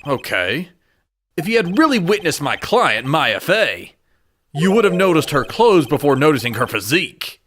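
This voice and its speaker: A man's voice: a little high, sing-song, moderate pace, fairly bright tone. A man voicing an earnest young male defense attorney who exclaims and stammers when caught off guard. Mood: determined. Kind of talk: fan dub game voice acting. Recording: with background music.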